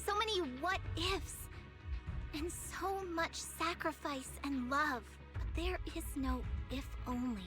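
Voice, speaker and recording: Casting: young tiger-girl disciple in anime game, very high, sincere and heroic, easily flustered. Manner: earnest, declarative, heroic.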